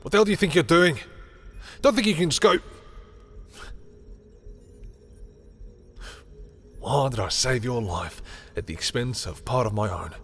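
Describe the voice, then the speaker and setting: Male actor as proud villain with powers, lowish voice, guarded and secretly smitten, intense halting confessional delivery for romantic audio drama, music underneath. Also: lightly acted. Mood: angry, exasperated. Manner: intense, halting confession, swearing, sometimes dropping to whispers. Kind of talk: dramatic audio roleplay monologue addressed to the listener. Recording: music behind the voice.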